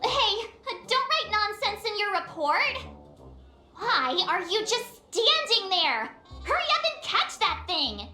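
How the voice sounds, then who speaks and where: A woman's voice: extremely high pitch, sing-song, takes it slowly, sharp tone, reverberant, faint music behind, heavily acted. A woman voicing a perky, easily offended teenage girl adventurer in an anime-style video game. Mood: annoyed.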